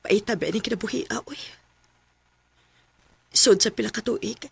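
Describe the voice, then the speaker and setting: An actress with a Cebuano accent playing a battered but quietly determined young wife in a radio drama. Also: female voice, medium pitch, unhurried, gravelly, slightly rough, dry recording, heavily acted. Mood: sorrowful, reflective. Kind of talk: Filipino radio drama acting. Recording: radio drama with faint music behind.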